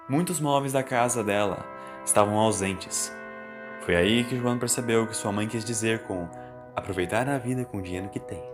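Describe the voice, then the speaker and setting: A man with a Brazilian accent reading a scripted story as its narrator, in Portuguese storytelling style. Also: mid-range voice. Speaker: narrator of an audio fan story. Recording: voice over background music. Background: music.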